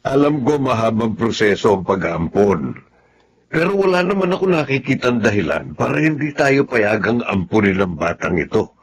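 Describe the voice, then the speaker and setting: An actor playing a determined, reassuring farmer husband in a Filipino radio drama. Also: male voice, fairly low pitch, very animated, normal speed, slightly rough, heavily acted. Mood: firm, coaxing.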